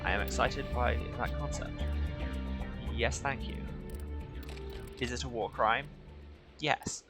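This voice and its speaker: A man's voice: mid-range voice, steady tone, slow pace. A man giving casual gameplay commentary, rambling and joking wryly to himself as he plays. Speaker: let's play host. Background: game audio and music.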